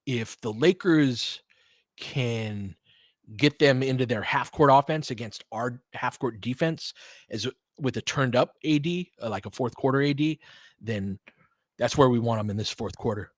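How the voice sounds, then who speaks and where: A man hosts live basketball play-by-play in a casual, analytical way. He talks like a fan chatting with listeners, with mild slang, at a middling pitch. The sound is close and dry, with very little room. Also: measured pace, no room sound. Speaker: play-by-play commentator and host.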